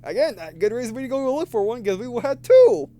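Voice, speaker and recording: High-voiced man reading game text aloud. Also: animated, fast talker, warm tone, slight background noise. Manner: stumbles over words while reading aloud. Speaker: video game let's player. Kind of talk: reading visual novel text aloud with casual commentary.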